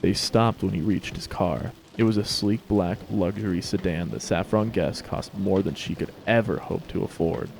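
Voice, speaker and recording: A man's voice: deep voice, normal speed, vocal fry, few pauses, darkish tone, a little hiss or hum, low-quality recording. A man narrating a scripted story as a dramatic reading.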